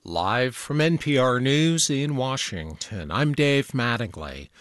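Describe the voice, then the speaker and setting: A man reading the hourly radio news bulletin in a steady, measured way. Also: medium pitch, no room sound, studio quality. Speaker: newscaster. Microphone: studio microphone.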